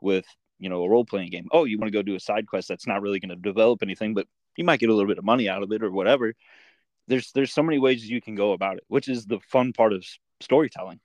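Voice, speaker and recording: Low-voiced man in casual podcast chat. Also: fast talker, darkish tone, no room sound. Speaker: podcast host and comic maker.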